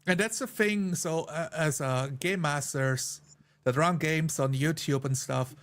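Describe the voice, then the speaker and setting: A man's voice: fairly high pitch, unhurried, a bit bright. A man in a casual discussion of roleplaying, explaining his views as a player in an earnest way. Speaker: tabletop roleplayer.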